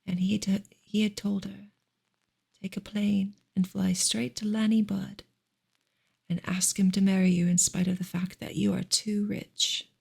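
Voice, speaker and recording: A woman reading aloud in a soft, breathy, whispery ASMR style, slow and deliberate. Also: mid-range voice, flat delivery.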